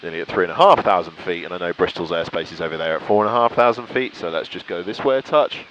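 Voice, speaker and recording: A British man with a fairly low voice talks casually to his viewers as he flies a light aircraft. He sounds enthusiastic and reflective. Clear engine and cockpit noise runs behind him. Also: fast, a bit bright, highs cut off. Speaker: pilot vlogger. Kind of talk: casual talk to viewers while flying.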